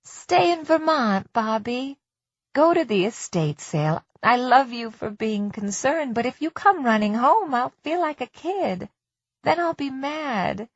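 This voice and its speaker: A woman voicing an anxious, tender middle-aged wife putting on a brave front, in a soft, reassuring way for an audiobook. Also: high voice, animated, measured pace, no echo, lo-fi sound, voice pushed up, full character voice. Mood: reassuring, affectionate.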